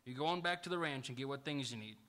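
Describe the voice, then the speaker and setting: An actor playing a gallant, stubborn unarmed cowboy in a live radio Western, speaking in folksy rural style. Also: male voice, mid-range voice, quick pace, bright, forceful tone, close to an everyday voice. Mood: earnest, defiant. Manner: folksy rural speech, polite but stubborn. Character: earnest, gallant, unarmed cowboy standing up for his sweetheart. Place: auditorium stage, live radio theatre performance.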